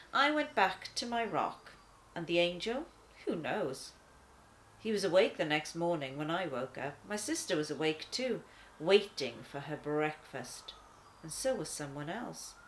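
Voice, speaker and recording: A woman's voice: sits low, sing-song, measured pace, a little hiss or hum, poor audio. A woman narrating a children's storybook as a thoughtful, curious lion, wry and questioning. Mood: musing.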